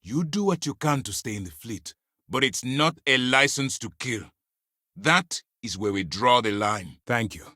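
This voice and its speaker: An actor playing a stern, principled military commander in a video game, speaking with formal, measured authority. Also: male voice, medium pitch, lively intonation, pushed and bright, no room sound, clean studio sound. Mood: stern, angry. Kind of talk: acted game dialogue.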